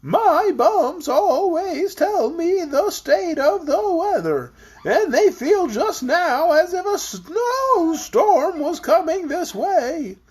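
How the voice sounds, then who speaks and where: Lady putting on a super high shaky weird little storybook man, drags his words way out, self-important. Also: sing-song, a little mellow, full character voice. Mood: ominous. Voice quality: wobbly, shaky, produced by shaking the head. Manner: drawn-out, exclamatory, self-important. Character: bumpy man, eccentric hospitable storybook oddball.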